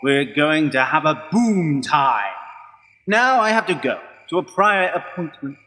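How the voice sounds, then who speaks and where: A man's voice: a little high, very animated, a little slow, bright, forceful tone, full character voice. A man playing a pompous, blustering Roman emperor in a comic radio play, declamatory and dismissive. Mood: blustering, self-satisfied. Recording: long hall-like reverb.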